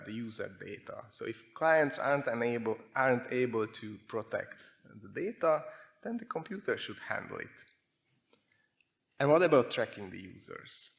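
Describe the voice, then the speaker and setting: A man with a Hungarian accent giving a prepared technical presentation, speaking in measured short phrases with pauses. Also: mid-range voice, creaky. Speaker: conference speaker, security researcher.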